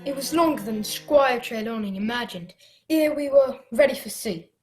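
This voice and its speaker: A woman's voice: a little high, normal speed, over music, lightly acted, rough recording. A woman playing an earnest young cabin boy who narrates his adventure in a radio play. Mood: earnest, wondering. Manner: earnest, storytelling.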